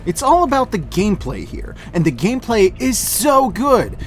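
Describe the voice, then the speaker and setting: Animated man, high-pitched, reviewing casually. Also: moderate pace. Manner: casual, joking, wry. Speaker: host of a year-end media review show. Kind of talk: scripted review narration. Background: music behind the voice.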